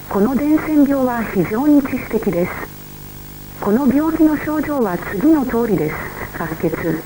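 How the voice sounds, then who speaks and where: A woman's voice: medium pitch, natural voice. A woman playing a formal emergency alert announcer, reading out scripted instructions. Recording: noisy radio-style emergency broadcast.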